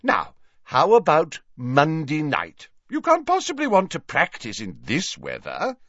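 A booming older professor for a storybook, pompous and jovial. His voice swoops high and wide as he cajoles, effusive and theatrical. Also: wildly swooping pitch, a little slow, a bit bright, no room sound, muffled recording, exaggerated voice. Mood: cajoling, insistent.

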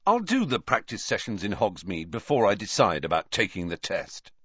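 A man's voice: on the low side, huge pitch swings, moderate pace, fairly bright tone, husky, no room sound, muffled recording, heavily acted. A man voicing a doubtful, teasing teenage schoolboy in an audiobook, with a grumbling manner. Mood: resolved. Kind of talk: audiobook character voice.

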